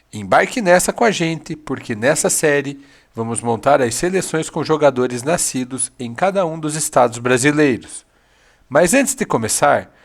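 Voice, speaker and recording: A Brazilian man hosts a football video in a steady mid-range voice. He runs through player lists and adds his own picks, upbeat and conversational. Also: mid-range voice. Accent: Brazilian Portuguese. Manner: listing players with opinions, upbeat. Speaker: football channel host. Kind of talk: scripted commentary video narration.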